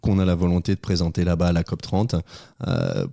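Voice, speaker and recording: A man's voice: sits low, level tone, fairly quick, gravelly, mellow, dry recording, studio quality. A man, a radio guest, explaining at length with many fillers. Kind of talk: radio interview.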